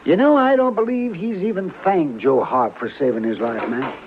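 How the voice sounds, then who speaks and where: An actor playing a crusty, opinionated town doctor in an old radio western, testy and emphatic. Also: male voice, a little high, moderate pace, natural voice. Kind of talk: 1950s radio western acting. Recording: muffled old radio recording, faint noise.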